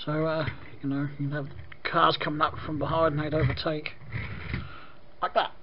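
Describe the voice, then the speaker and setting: Croaky British man reviewing toys. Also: on the high side, little pitch movement, normal speed, highs cut off, a little hiss or hum. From Britain. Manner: chatty, rambling with frequent ums and throat clearing. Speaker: toy reviewer. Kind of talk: casual product review. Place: indoor room at a desk with toy display.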